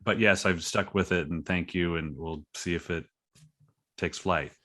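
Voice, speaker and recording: A man's voice: deep voice, steady tone, measured pace, bright, forceful tone. A man talking casually and earnestly as a guest in an interview, in a reflective way. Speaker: podcast guest, writer.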